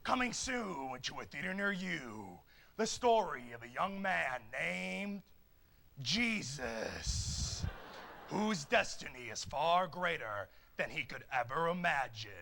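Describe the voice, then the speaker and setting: A man playing a dramatic movie-trailer announcer with a booming, portentous delivery. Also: big pitch swings, takes it slowly, vocal fry, pushed and bright, slight background noise, heavily acted. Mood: ominous.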